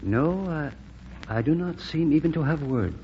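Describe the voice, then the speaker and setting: An actor playing a humble, courteous Chinese lieutenant in an old-time radio drama, speaking formal, halting English as a second language. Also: male voice, on the high side, animated. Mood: sorrowful, restrained. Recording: dull, faintly noisy vintage recording.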